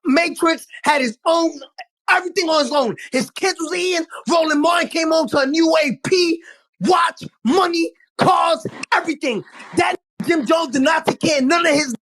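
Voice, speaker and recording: A woman's voice: very high voice, moderate pace, a bit bright, no echo, shouting, rough recording. A woman telling a heated street story, emphatic, accusing and repeating herself.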